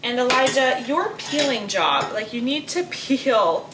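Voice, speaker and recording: A middle-aged woman talks casually to the camera in a conversational, explanatory way. Her voice sits a little high. There is faint noise behind her, as in an everyday vlog. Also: animated, measured pace. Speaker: homeschooling mother and vlogger.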